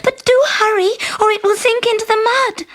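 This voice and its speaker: A woman's voice: extremely high pitch, steady tone, a little fast, a bit bright, pitched above the performer's own voice. A woman voicing a spoilt, petulant young princess in a children's story, with a dramatic, girlish delivery. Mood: eager, impatient. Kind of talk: storybook character voice.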